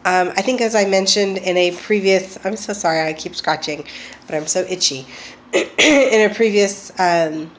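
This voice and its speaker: A woman's voice: mid-range voice, unhurried, slight background noise. A woman vlogging at home, chatty and hesitant with lots of ums, getting over a cold. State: recovering from a cold. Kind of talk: casual personal video diary.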